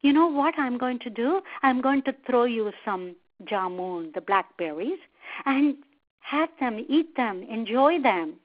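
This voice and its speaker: Woman voicing an excitable high-pitched little monkey. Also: unhurried, narrow, tinny audio. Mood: welcoming. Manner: exclamatory, emphatic. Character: friendly, quick-witted male monkey, easily alarmed.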